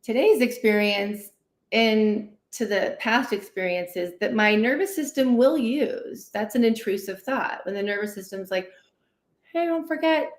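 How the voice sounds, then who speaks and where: A woman's voice: mid-range voice, measured pace. Woman explaining conversationally in an echoey room. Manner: animated, acts out inner dialogue, often tags sentences with "right?". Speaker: guest therapist, licensed clinical social worker. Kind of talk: conversational explaining in a livestream interview. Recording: roomy, echoey sound.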